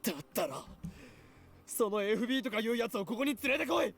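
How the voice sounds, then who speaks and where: A man's voice: very high voice, animated, racing, gravelly, soft background music, full character voice. An actor playing a defiant, desperate young man in a Japanese visual novel. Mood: angry, defiant.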